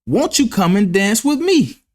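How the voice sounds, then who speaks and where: A man voicing a carefree, mocking grasshopper in a children's storybook, with a teasing, drawn-out delivery. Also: high voice, big pitch swings, darkish tone, voice pushed up. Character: carefree, mocking young male grasshopper who loves play. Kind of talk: children's storybook read-aloud.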